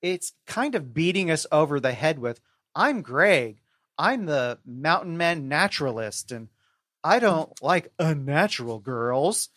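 A man with a dry, close sound talks as a podcast guest. His commentary is measured and analytical, within a casual book chat. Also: on the high side, expressive, fairly bright tone, no echo.

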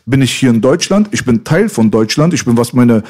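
Fast-talking, passionate man. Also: mid-range voice, expressive, darkish tone. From Iran. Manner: passionate, emphatic, street slang. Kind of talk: casual conversation.

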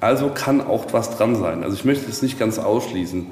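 A man's voice: on the low side, animated, normal speed, a little mellow. A man guiding visitors informally, explaining stories in a loose way with many asides, recorded in a very reverberant space. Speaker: castle tour guide. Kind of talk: informal guided tour storytelling. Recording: very echoey, reverberant, noticeable background noise.

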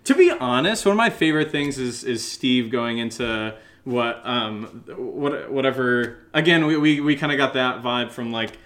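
A man co-hosting a podcast, answering questions in a casual, jokey, rambling and halting way. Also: medium pitch, a bit bright. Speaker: co-host of an audio drama podcast answering listener questions. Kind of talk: casual unscripted Q&A chat.

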